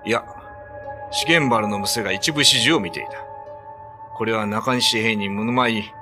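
A low-voiced actor playing a grave, commanding village man who tells a ghost tale in a radio drama. His voice sits under prominent background music. He sounds solemn and authoritative. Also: moderate pace, close to an everyday voice. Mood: urgent, commanding. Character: grave narrator and commanding male village leader. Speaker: narrator and actor. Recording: voice over loud background music.

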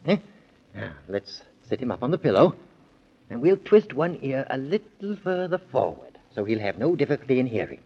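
Playful, husky-voiced storytelling father. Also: mid-range voice, sing-song, moderate pace. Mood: gently indulgent. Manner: indulgent, dramatic storytelling with repetitions. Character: gentle, playful father telling bedtime stories. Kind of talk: 1930s radio children's drama acting. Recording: dull old 1930s radio recording.

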